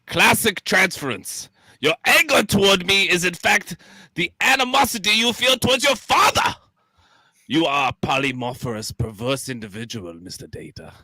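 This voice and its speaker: A pompous, wildly swooping psychoanalyst. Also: male voice, high-pitched, lively intonation, moderate pace, pushed and bright, dry recording, heavily acted, rough recording. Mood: pompous, accusing. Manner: theatrical, lecturing, erratic swoops. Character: pompous, excitable old-school male psychoanalyst, a holodeck Freud. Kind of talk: radio play live read.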